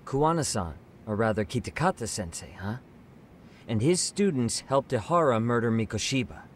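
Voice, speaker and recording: A man's voice: mid-range voice, lively intonation, normal speed, a little hiss or hum, natural voice. An actor playing an inquisitive, cheeky young man with a casual manner in an English game dub. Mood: curious. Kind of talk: English dub video game drama acting.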